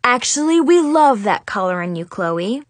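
Gushy schoolgirl best friend, a woman acting: squealing, bossy, very high, swooping audiobook delivery. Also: very animated, moderate pace, dry recording. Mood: falsely cheerful. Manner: squealing, gushing.

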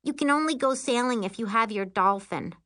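A whiny younger sister, acted by a woman narrator, pitched fairly high. Her delivery is matter-of-fact and girlish, in audiobook style. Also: expressive, a little fast, sharp tone. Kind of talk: audiobook character voice.

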